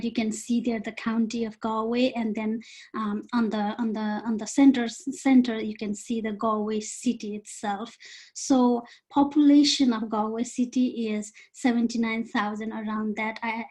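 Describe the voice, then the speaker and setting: High, flat-voiced woman from Nepal. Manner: earnest, halting, with fillers. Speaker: volunteer presenter. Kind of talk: informal online presentation.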